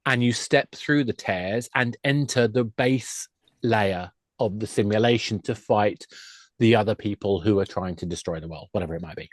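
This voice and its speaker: Low-voiced middle-aged man, chatty. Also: moderate pace, dry recording. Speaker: podcast guest, games industry expert. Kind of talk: conversational interview talk.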